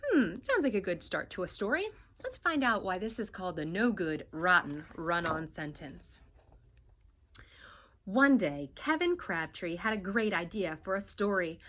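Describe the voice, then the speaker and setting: Teacher reading a picture book aloud, woman, bright and very animated. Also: medium pitch, moderate pace. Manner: warm, expressive teacher voice.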